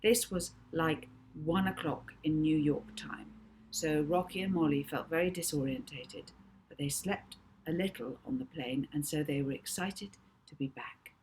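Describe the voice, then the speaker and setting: A woman reading a children's novel aloud as expressive audiobook narration. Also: low-pitched, measured pace, a little hiss or hum, rough recording.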